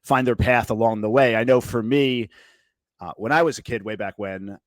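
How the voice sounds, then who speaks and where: A man in his forties hosts a podcast and asks long, rambling questions with plenty of fillers. He speaks at a measured pace into a close headset mic. The sound is dry and close. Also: medium pitch, no echo. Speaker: podcast host. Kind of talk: casual interview chat. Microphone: headset, studio.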